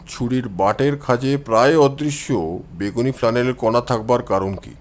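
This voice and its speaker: Give the detective , as acted by a male storyteller, a low, deep register. He is calm and confident, laying out his reasoning in measured phrases with quiet self-assurance, as in an audio mystery story. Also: lightly acted.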